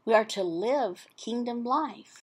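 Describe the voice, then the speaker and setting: A woman voicing an interpreted sermon as explanatory teaching, in short, halting phrases with pauses between them. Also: fairly high pitch, lively intonation, a little mellow, breathy edge.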